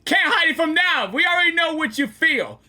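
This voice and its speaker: A woman streamer with a high, bright voice that swings animatedly. She reacts live to a game in slangy, repetitive exclamations. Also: expressive, fairly quick. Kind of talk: excited live reaction commentary.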